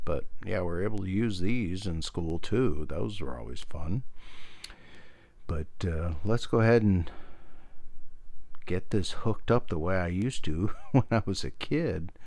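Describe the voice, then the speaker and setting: A middle-aged American man with a fairly low voice presents a hobby video. He rambles informally between explanation and anecdote, with a self-deprecating touch. The sound is dry, with very little room to it. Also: expressive, unhurried, gravelly, a little mellow, dry recording.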